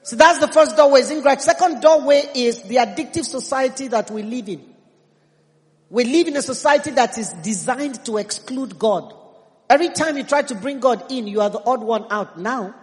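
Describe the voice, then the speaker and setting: A woman's voice: high-pitched, normal speed, darkish tone. Nigerian woman preaching in a reverberant hall. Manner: emphatic, humorous preaching. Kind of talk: sermon. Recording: long reverb in a big hall.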